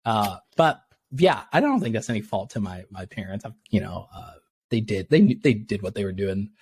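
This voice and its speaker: A young man from Tanzania, a podcast guest, chatting casually and acting out quotes with sudden jumps in pitch, laughing often. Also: expressive, moderate pace, darkish tone, a little hiss or hum. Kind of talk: casual interview chat.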